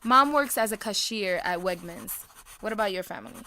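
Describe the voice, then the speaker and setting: A young woman acting a blunt, slangy Puerto Rican high school girl in a naturalistic audio drama. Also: expressive, brisk, pushed and bright. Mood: curious, casual.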